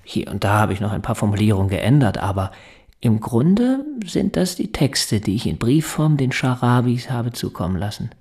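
A creaky, mid-pitched man's voice plays an anxious, evasive father in a radio drama. He speaks formally and deliberately, with an earnest, confessional air. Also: big pitch swings, a little mellow. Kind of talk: German radio drama acting with narration.

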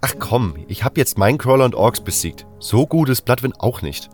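A man's voice: mid-range voice, expressive, normal speed, a little mellow, close to an everyday voice. A man plays a cocky young adventurer in a fantasy audio drama. He speaks in a brash, colloquial way, defiant, with music underneath.

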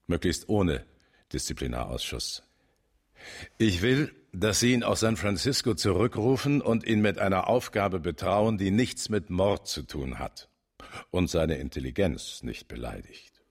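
An actor playing a shrewd older police chief in a German radio drama, speaking with blunt, measured authority. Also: male voice, low voice, sing-song, gravelly, husky, heavily acted. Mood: measured, deliberate.

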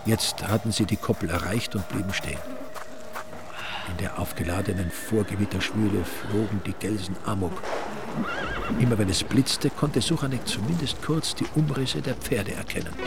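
A man narrating a suspenseful rural crime story in a scripted radio drama, with a creaky voice. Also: fairly low pitch. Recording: music under the voice. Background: music.

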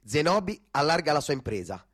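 A man's voice: a little high, sing-song, fairly quick, fairly bright tone. A man narrating a radio play as an actor, in a theatrical scripted style.

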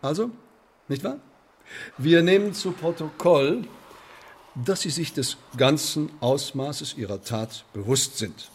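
An actor playing a menacing, sardonic Elizabethan English sheriff in a German radio drama, officious and mock-polite, with deliberate emphasis. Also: male voice, fairly high pitch, animated, breathy edge, heavily acted. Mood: officious pressing.

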